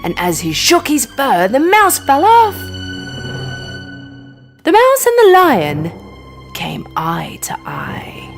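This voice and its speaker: A woman reading a scripted children's bedtime story as an expressive narrator. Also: high-pitched, slow, pauses often, music in the background.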